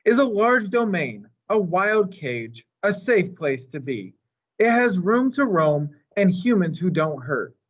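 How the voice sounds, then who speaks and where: A man voicing a gentle, wise old female elephant who tells stories in a measured, thoughtful way. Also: sits high, very animated, highs cut off, voice pushed up, heavily acted.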